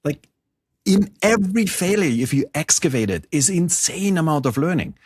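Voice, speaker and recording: A middle-aged man from Germany with a German accent, telling stories as an interview guest in a lively, rambling way. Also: fairly high pitch, moderate pace, slightly rough, no echo, studio quality. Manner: animated storyteller, frequent "like" and restarts. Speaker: guest author. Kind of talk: conversational interview.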